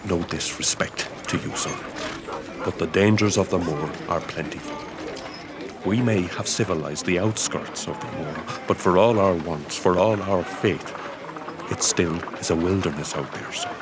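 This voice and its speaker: A man playing a grave, blunt manservant and huntsman in a gothic audio drama, with a measured, weary, plain-spoken manner. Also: low-pitched, background noise, natural voice. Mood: grave, foreboding.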